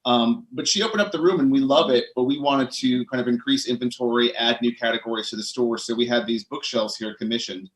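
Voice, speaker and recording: A man from Connecticut, a bookstore owner, telling his story in a relaxed interview chat with easy fillers. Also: mid-range voice, brisk, hardly any pauses, rough recording.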